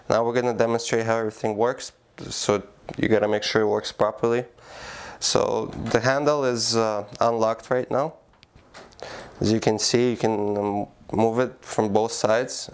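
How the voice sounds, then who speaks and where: A man giving a plain, practical how-to demonstration, pausing often with small fillers. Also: mid-range voice, smooth. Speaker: product demonstrator. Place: indoor room by a door.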